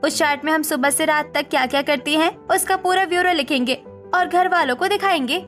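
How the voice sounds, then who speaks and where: Supportive, assertive young daughter-in-law female actor, high-pitched, animated family drama, music bed. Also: fairly quick. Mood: excited. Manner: earnest, firm.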